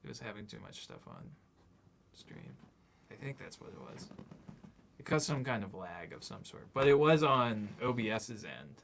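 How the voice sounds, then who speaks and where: Low, gravelly-voiced man thinking aloud. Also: wildly swooping pitch, moderate pace, fairly bright tone, a little hiss or hum. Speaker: streamer playing a board game. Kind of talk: casual game commentary chat.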